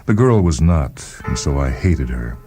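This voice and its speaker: A man's voice: very deep voice, sing-song, measured pace, warm tone, faint music behind, full character voice. A man playing a bitter, haughty male scientist in a radio story, speaking with clipped disdain.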